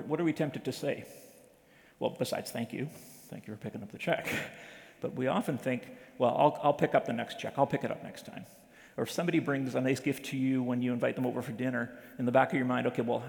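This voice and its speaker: Gravelly man speaking in a reverberant hall. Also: mid-range voice. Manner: conversational, many fillers and restarts, storytelling. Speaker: missions speaker at a church presentation. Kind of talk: informal church talk to a congregation.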